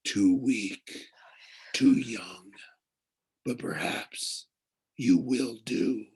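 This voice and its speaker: A man voicing an ancient, cold, evil presence from a pit, speaking slowly in a hushed, menacing, heavy way for a storybook. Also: lowish voice, creaky, breathy edge, heavily acted. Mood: cold, mocking.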